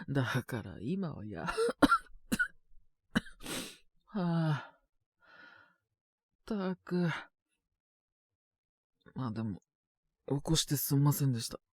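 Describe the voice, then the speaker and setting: A man's voice: on the high side, huge pitch swings, takes it slowly, long pauses, a little mellow, husky, dry recording, clean studio sound, full character voice. An actor playing a sick young man with a cough, grumbling politely to his senior in an intimate Japanese voice drama. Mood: weary, teasing. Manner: casual junior speech, mumbled reluctant replies, sighing. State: ill with a cold, frequent coughing fits. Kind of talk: Japanese boys' love situation voice drama, intimate one-sided dialogue.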